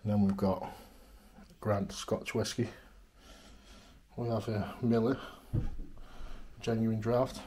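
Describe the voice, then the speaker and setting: A man's voice: fairly low pitch, fairly even intonation, slow pace, pauses often, warm tone, slight background noise. A man narrating a walkthrough of an abandoned house in an offhand, chuckling way, recorded on a handheld camera indoors. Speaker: urban explorer vlogger. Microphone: camera mic, handheld walkthrough.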